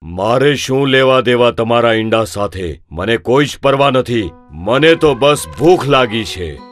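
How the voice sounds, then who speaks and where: A man's voice: medium pitch, animated, unhurried, fairly bright tone. Man voicing a haughty elephant over music. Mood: scornful. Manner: haughty, dismissive. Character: huge arrogant, selfish elephant. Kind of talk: children's animated story acting.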